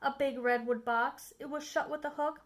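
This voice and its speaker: A woman reading a children's story aloud as an expressive narrator, with emphatic, dramatic phrasing. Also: sits high, moderate pace. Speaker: storybook reader.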